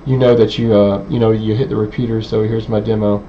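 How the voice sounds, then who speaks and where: A man giving an informal technical demonstration in a halting, hesitant way with many pauses. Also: lowish voice, level tone. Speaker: presenter, radio hobbyist. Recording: dull, lo-fi sound with faint background noise.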